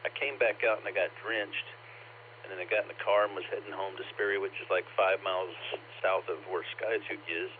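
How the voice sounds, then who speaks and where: A low-pitched man with a scratchy, slightly bassy voice from a recent cough. He rambles through his day in easy, chatty fashion on a ham radio net. The sound is thin and narrowband, with faint static. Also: steady tone, fairly quick. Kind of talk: casual on-air chat.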